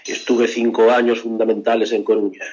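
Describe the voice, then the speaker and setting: A man voicing a flamboyant old male painter, talking with slow, dramatic pauses and grand boasts. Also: lowish voice, level tone, darkish tone, highs cut off, voice pushed down, lightly acted. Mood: boastful. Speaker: radio contributor.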